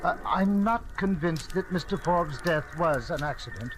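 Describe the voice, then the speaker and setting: A formal, servile butler with a slightly high male voice, played by an actor in an old radio mystery. He speaks haltingly and deferentially, and the recording is clearly noisy. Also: animated, lightly acted. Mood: hesitant, uneasy. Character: deferential, hesitant male butler of a wealthy house. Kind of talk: old-time radio drama acting.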